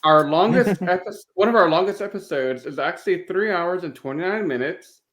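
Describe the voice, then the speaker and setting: A man's voice: high voice, fairly quick, fairly bright tone. A man chatting casually as a co-host on a podcast.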